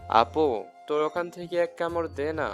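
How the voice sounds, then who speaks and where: Woman putting on a slow, low-voiced little boy. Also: faint music behind. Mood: pleading. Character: pleading, innocent, affectionate little boy. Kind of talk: audio story dialogue.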